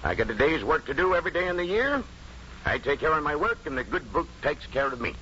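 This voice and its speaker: A man's voice: a little high, very animated, fast, bright, forceful tone. An actor playing a pious, set-in-his-ways farmer uncle in a 1950s radio drama, with blunt, folksy rural speech. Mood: stubborn, plain. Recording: dull, noisy 1950s radio recording.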